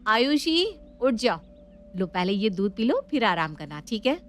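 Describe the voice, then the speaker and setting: A woman's voice: medium pitch, very animated, measured pace, a bit bright, a little hiss or hum. A woman voicing a motherly, protective young sister-in-law in a family story. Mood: caring. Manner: fussing, protective, soothing.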